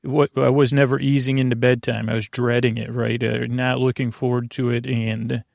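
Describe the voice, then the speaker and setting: A man hosting a bedtime podcast in a rambling, halting monologue, circling back and losing his thread, with a creaky, gravelly voice. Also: medium pitch, level tone, a little mellow, no room sound, studio quality. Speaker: podcast host. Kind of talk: rambling bedtime monologue to help listeners sleep.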